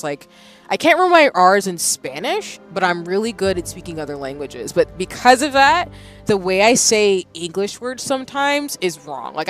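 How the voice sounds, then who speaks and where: Chatty rambling streamer, faint music behind. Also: mid-range voice. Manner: chatty, rambling, self-correcting. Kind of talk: casual stream chat.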